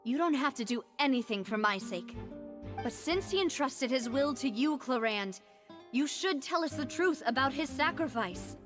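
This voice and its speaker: Casting: high-pitched, determined young game heroine, dramatic delivery, over soft music. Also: female voice, lively intonation, normal speed. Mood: resolute. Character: determined young woman, leader of a syndicate, seeking justice. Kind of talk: dramatic video game voice acting. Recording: music under the voice.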